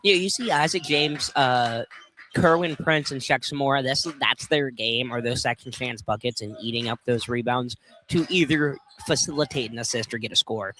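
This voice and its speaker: A man's voice: medium pitch, moderate pace, pushed and bright. A man analysing a live basketball game on radio, explaining plays in a conversational, tentative way. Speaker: color commentator for basketball. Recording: noisy live gym broadcast.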